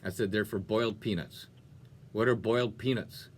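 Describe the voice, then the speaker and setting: A man presenting on music radio as a DJ, with an animated, folksy, playful delivery and chatty talk breaks. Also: fairly low pitch, normal speed. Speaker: radio DJ and show host.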